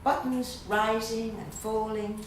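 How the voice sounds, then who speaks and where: Middle-aged Irish woman, guest poet, measured and unhurried reading, loose and chatty between pieces; picked up far from the mic in a very echoey room. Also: a little high, flat delivery, a little hiss or hum. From Dublin, Ireland. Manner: slow, measured reading with pauses; chatty and hesitant between poems. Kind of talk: poetry reading at a literary evening. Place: event room at a literary gathering.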